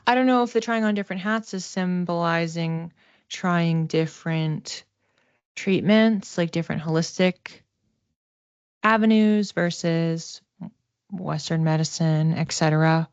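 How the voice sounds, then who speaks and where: A woman giving a casual live psychic reading, speaking conversationally and tentatively, thinking aloud with pauses as she explains. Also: on the low side, smooth, no echo, lo-fi sound.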